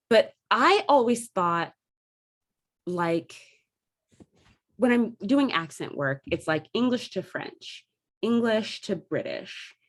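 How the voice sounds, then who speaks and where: Low-pitched woman chatting about her craft. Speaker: theatre company artistic director and performer. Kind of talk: casual discussion of theatre craft.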